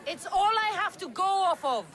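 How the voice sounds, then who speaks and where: Lively mercenary heroine. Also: female voice, very high-pitched, moderate pace, a faint crowd. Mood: feigned naivety. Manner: direct, resolute. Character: bold determined ancient Greek mercenary woman, dry and direct. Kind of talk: video game dialogue.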